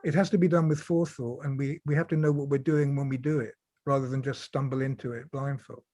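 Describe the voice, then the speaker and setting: A man's voice: mid-range voice, fairly even intonation, fast talker, a little mellow, no echo, clean studio sound. A man speaking as a panelist over a video call, reflective and repeating words as he works through a thought. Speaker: panelist and writer. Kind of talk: panel discussion.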